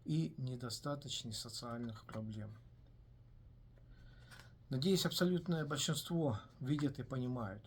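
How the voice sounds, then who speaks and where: A man giving a solo video commentary in a measured way, pausing often with hesitant filler sounds between phrases. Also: medium pitch, darkish tone, breathy edge. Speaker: commentator on his own video channel. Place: based in the United States.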